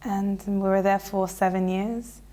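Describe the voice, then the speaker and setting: A woman, telling her life story in a relaxed, chatty way full of fillers and restarts. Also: medium pitch, a little slow. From Australia. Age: 20s. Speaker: interviewee. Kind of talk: casual personal storytelling.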